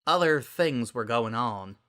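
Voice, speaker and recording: Man acting teenage farm girl, drawling, down-to-earth, bright, story character. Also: medium pitch, lively intonation, slow, smooth. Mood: awkward.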